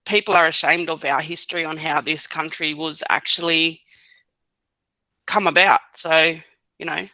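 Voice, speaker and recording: A woman's voice: low-pitched, steady tone, normal speed, pushed and bright, no echo. A woman in her thirties, a podcast guest giving short, hesitant answers with many fillers. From Australia. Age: 30s. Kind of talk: casual podcast interview.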